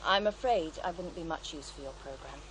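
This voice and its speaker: An actress playing a serene, devout faith healer in a radio drama, reflective and gently assured as she tells her story. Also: sits low, brisk, slight background noise, poor audio. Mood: serene conviction. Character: serene devout female faith healer, former child therapist, wistful. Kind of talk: naturalistic radio drama acting.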